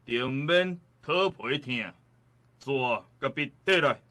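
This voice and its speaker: Sharp-voiced, bullying county magistrate. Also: male voice, mid-range voice, animated, takes it slowly. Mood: stern, commanding. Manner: commanding, pompous. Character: stern, bullying male county magistrate of old times. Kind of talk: Taiwanese radio drama acting.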